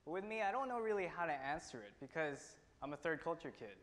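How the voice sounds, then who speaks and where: A man's voice: a little high, big pitch swings, a bit bright. Young man telling a story in a hall. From India. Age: teens. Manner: earnest storytelling with pauses and restarts. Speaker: student speaker. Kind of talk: prepared personal talk. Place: auditorium stage. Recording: long hall reverb.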